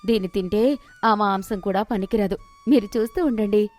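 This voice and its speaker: A woman's voice: medium pitch, normal speed, darkish tone, faint music behind, natural voice. A woman voicing a caring village wife, determined, reassuring, storybook cartoon. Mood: confident.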